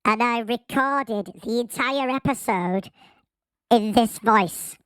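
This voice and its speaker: Pitched-up filtered voice of a man, slow, chatty and joking, dry close sound. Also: a bit bright, smooth, no echo, exaggerated voice, rough recording. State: losing his voice. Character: the host himself, pitched up by a button. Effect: pitch-shifting voice filter. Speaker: podcast host.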